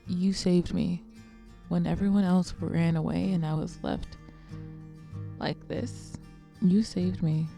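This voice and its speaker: A woman acts out a scarred, proud villainess in a superhero radio drama. Her voice is low and gravelly, with a cool, resolute delivery and music underneath. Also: fairly even intonation, slow, long pauses, mellow. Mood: solemn. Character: scarred female vigilante villain, cool, proud, world-weary.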